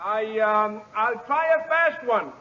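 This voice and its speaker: An earnest, bookish professor in an old radio play. His voice sits fairly high and moves around a lot, with careful, formal wording. The recording is dull and aged. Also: animated, takes it slowly. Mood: eager. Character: earnest, excitable male chemistry professor, bookish and mild. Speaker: actor. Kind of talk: 1940s radio acting.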